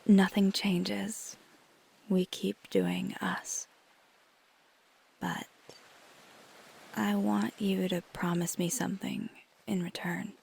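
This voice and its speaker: A husky, breathy vampire woman, acted by a female performer murmurs close and intimate in an ASMR roleplay. She takes her time, with a gravelly fry at the edges of her half-whisper. Her manner is protective and reassuring, with a flirty, teasing streak. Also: medium pitch, darkish tone, full character voice. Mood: earnest, caring. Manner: tender, reassuring, unhurried. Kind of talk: ASMR roleplay, intimate one-sided dialogue.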